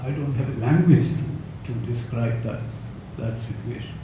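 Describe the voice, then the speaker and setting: An older man giving a conference talk, hesitant with many fillers and soft chuckles. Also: medium pitch, normal speed. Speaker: academic speaker. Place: lecture hall. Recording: echoey hall, distant mic, noisy.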